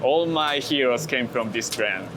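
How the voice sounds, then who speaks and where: A man talks about his photography in hesitant, second-language English, pausing and restarting often. He's outdoors on a city street with traffic noise plainly audible. His pitch sits in an ordinary middle range. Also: lively intonation. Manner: halting English with many fillers and restarts. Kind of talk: casual walking interview.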